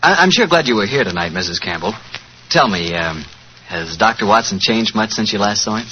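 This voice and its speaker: An American man hosting a 1940s radio show as announcer, with a folksy, smooth sales delivery. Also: on the low side, animated, a little fast, pushed and bright. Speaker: announcer and host. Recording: muffled, noisy 1940s radio recording.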